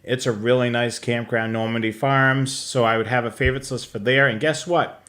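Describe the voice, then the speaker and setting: American man in casual, halting chat. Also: mid-range voice, fairly even intonation, fairly bright tone. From New England, United States. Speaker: co-host of a live scanner hobby show.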